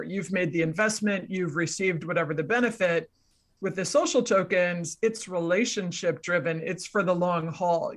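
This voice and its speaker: A woman's voice: fairly low pitch, fairly bright tone. A woman speaks as a guest expert in a conversation. She explains things methodically, building her points through examples and contrasts.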